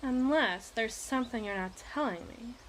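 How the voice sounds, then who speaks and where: A woman's voice: fairly high pitch, moderate pace, a bit bright, a little hiss or hum, lightly acted. A woman playing a possessive, imperious evil queen in an ASMR roleplay, speaking in formal, teasing, theatrical diction. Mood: teasing, sardonic.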